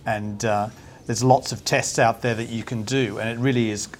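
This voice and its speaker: A man's voice: medium pitch, steady tone, normal speed, slight background noise. A man speaking earnestly on a panel discussion, in stops and starts. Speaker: round table panelist.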